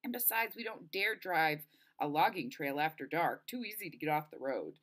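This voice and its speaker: A woman's voice: low-pitched, expressive, normal speed, fairly bright tone. A woman reading a storybook as a cautious, practical teenage boy, earnest and reassuring.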